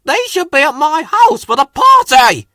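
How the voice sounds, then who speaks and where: A man voicing a bossy, quick-tempered railway controller in a children's storybook. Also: extremely high pitch, big pitch swings, sharp tone, dry recording, clean studio sound, voice pushed up, exaggerated voice. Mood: cross.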